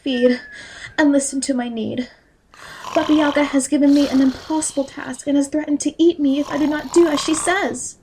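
A woman's voice: sits high, fairly even intonation, normal speed, background noise. A woman playing a timid, dutiful young girl in a fairy-tale audio drama, meek and polite. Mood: worried.

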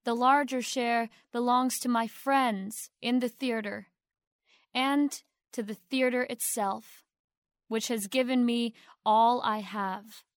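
A woman playing a poised young stage actress who speaks with formal, sweetly humble gratitude and deliberate pauses in an audio drama. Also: on the high side, steady tone, dry recording, studio quality. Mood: humble, proud. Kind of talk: theatrical award acceptance speech in audio drama.

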